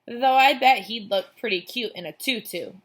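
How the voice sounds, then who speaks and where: A woman's voice: fairly high pitch, normal speed, pitched above the performer's own voice, close to an everyday voice. A woman voicing a young girl in a children's storybook, loyal and teasing, talking in a casual kid manner. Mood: probing.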